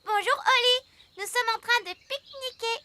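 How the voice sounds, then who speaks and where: A woman's voice: very high voice, moderate pace, background noise. A woman voicing a cheerful, eager little girl in a French cartoon, with chirpy delivery. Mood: delighted. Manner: chirpy, exclamatory.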